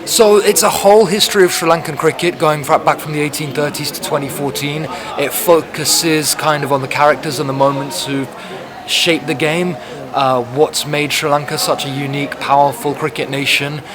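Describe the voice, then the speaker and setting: A man's voice: a little high, moderate pace. Man answering casually over crowd chatter. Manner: relaxed, thoughtful, many fillers. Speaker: author being interviewed.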